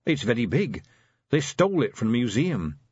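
A man voicing a timid, haunted young male dwarf who speaks in a small halting voice. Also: mid-range voice, sing-song, darkish tone, breathy edge, dry recording, lo-fi sound. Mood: subdued.

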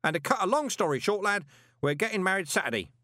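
Elderly merchant, bellowing and jovial, in a dry booth sound. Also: male voice, big pitch swings, quick pace, pushed and bright, dry recording, exaggerated voice. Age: older. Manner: bellowing, booming, no volume control. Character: loud hearty elderly shopkeeper man, bellowing.

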